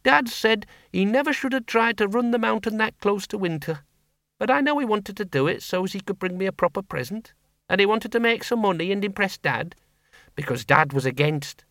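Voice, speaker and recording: A man voicing a sharp-tongued older spinster who runs a farm, talking bluntly in country speech. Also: high voice, lively intonation, no room sound, clean studio sound, pitched above the performer's own voice. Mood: wistful. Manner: brisk, blunt country talk. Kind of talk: audiobook character voice.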